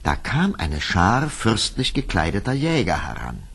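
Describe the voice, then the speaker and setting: A man narrating a scripted fairy tale with expressive, dramatic storytelling. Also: sits low, unhurried, gravelly, husky.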